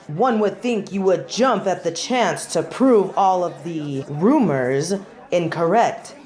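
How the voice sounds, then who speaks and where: A woman performs a haughty female angel who presides as judge in an audio drama. She speaks slowly and formally, with pompous, theatrical command, at a middling womanly pitch. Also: a little mellow, natural voice. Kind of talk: scripted audio roleplay.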